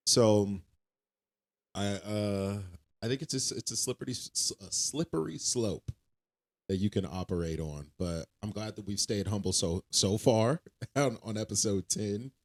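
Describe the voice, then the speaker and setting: Low-voiced earnest man preaching casually. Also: unhurried. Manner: earnest, preachy, self-correcting with restarts. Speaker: podcast co-host. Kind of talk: casual faith chat.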